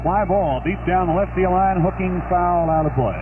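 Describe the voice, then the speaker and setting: A male baseball announcer calling a game live on 1970s radio in an unhurried, conversational way. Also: high-pitched. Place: stadium broadcast booth. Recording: noisy, thin phone-like vintage AM radio.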